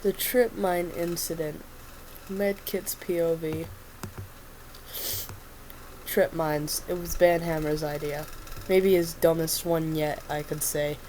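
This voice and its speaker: A woman's voice: low voice, steady tone, slow pace, pauses often, dark tone, slight background noise, poor audio. A woman reading as a wry doctor narrator. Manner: plain first-person storytelling. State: stuffy nose.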